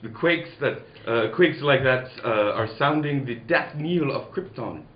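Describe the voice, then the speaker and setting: In a muffled, echoing room, a man acts out a grave alien man of science in a 1940s radio serial. He declaims his prophecies of doom with pompous solemnity and big swings of pitch, now and then tripping on the script. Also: expressive, unhurried, lightly acted. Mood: alarmed. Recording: roomy, muffled, some background noise.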